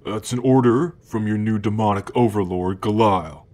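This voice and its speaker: A man's voice: on the low side, moderate pace, darkish tone, natural voice. A man playing an always-hungry, playful and grumpy demon in an ASMR roleplay, speaking in a casual, slangy way. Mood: teasing, casual. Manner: casual slangy talk with frequent chuckles. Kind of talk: ASMR roleplay addressed to the listener.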